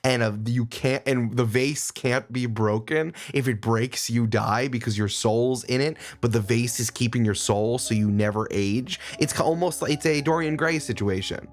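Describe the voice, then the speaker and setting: A man in casual, improvised chat as a podcast guest, riffing in a rambling, animated way. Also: mid-range voice, moderate pace, few pauses.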